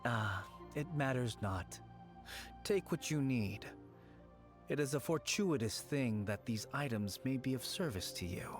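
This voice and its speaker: A man voicing a courteous, mysterious shrine keeper in a video game, speaking in an unhurried, knowing way. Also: medium pitch, expressive, lightly acted. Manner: unhurried, knowing, politely formal. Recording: game audio under background music.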